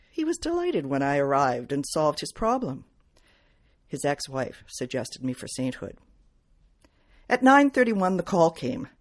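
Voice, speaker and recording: A woman reading first-person detective fiction aloud as its author, in measured, clearly phrased narration. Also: low voice. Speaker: author reading from own novel.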